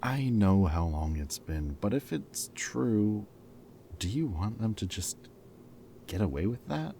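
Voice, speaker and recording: A man's voice: lowish voice, expressive, slow pace, creaky, dark tone, slight background noise, full character voice. A man acting a wry, loyal ex-villain thief in a roleplay audio drama, talking casually, both teasing and earnest. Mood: gentle, concerned.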